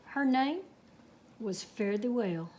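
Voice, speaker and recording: A woman reads a children's story aloud. She takes her time, stretching words in a folksy, expressive way and speaking to her listeners directly. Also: a little high, takes it slowly, slight background noise. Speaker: storyteller reading a picture book aloud. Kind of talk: animated children's read-aloud with folksy asides.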